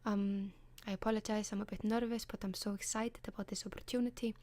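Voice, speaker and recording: Soft-whispering Icelandic woman, flat delivery. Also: mid-range voice, fast, dry recording. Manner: soft whisper, hesitant with many ums. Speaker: ASMR advice column host. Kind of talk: whispered ASMR advice.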